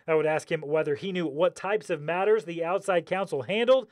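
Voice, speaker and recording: A man's voice: fairly high pitch, brisk. A man narrating a scripted television news report.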